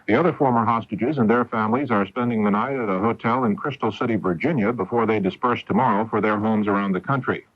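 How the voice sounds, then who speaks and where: Low, husky American newsman on muffled radio. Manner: measured, formal. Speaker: radio news anchor. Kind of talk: scripted newscast narration. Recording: muffled 1980s AM radio broadcast.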